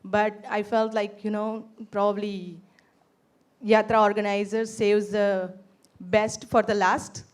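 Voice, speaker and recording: A woman giving a heartfelt personal testimony as a pilgrim, telling her story in animated bursts. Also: mid-range voice, slow pace. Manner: animated storytelling, quotes herself in lively bursts. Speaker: pilgrim sharing reflections.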